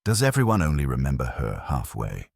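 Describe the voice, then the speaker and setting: A man voicing a pensive, methodical English police inspector in an audio detective story, measured and deliberate. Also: very deep voice, wildly swooping pitch, gravelly, dry recording, studio quality, full character voice. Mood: pensive murmur.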